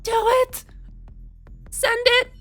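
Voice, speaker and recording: A woman's voice: very high voice, flat delivery, very slow, a bit bright. A woman streaming a game with casual, playful, giggly live commentary. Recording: game music faintly behind the voice. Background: faint game music.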